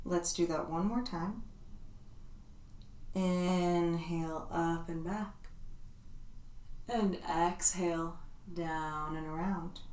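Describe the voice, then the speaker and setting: A woman leading a yoga class, giving calm, soothing step-by-step cues. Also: lowish voice, extremely slow, pauses often. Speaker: yoga instructor. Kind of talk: guided yoga class instruction. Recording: roomy echo, voice from a distance.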